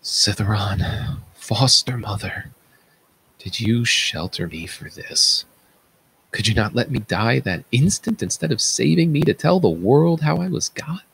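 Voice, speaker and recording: A man's voice: mid-range voice, lively intonation, a little slow, vocal fry, a bit bright, breathy edge, full character voice, low-quality recording. A man playing an anguished, self-loathing blinded king in a theatrical, declamatory reading of Greek tragedy. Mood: tormented reproach. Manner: declamatory, tormented, dramatic.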